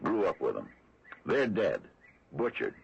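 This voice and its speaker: A very low, husky American small-town sheriff, stubborn and put-upon. He grumbles in halting, folksy phrases that swing up and down, on a dull old radio recording. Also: wildly swooping pitch, full character voice. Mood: scornful. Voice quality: gravelly, husky. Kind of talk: old-time radio drama acting.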